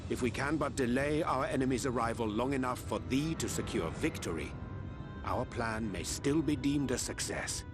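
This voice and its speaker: An actor playing a solemn, scholarly strategist in a video game, speaking formal, archaic English in a measured manner. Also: male voice, medium pitch, expressive, music in the background, natural voice. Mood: resolute. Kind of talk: video game cutscene acting.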